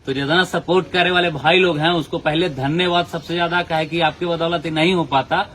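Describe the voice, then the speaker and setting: A man's voice: on the high side, steady tone, a little fast, a bit bright. Casting: earnest male commentator, faint noise floor. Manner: earnest, pleading, folded-hands appeal. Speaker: channel host. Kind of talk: casual commentary to viewers.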